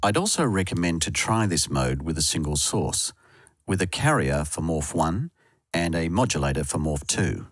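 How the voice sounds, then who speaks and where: Deep-voiced man narrating a tutorial. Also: sing-song, moderate pace, slightly rough, dry recording. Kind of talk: scripted software tutorial narration. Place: close dry voice-over recording.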